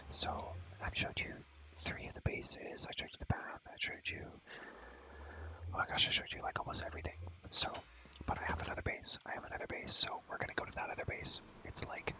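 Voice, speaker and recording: A young man whispering casual, rambling ASMR gameplay commentary, full of slang and repeated phrases, in a breathy, unvoiced voice. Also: brisk, bright, forceful tone, poor audio. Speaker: ASMR gaming video creator.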